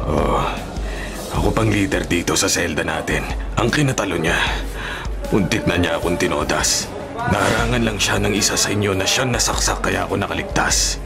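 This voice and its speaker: A man's voice: low voice, creaky. A man playing a vengeful, hot-headed prison gang leader in a Filipino radio drama, with a menacing delivery. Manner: menacing, threatening. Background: loud dramatic music.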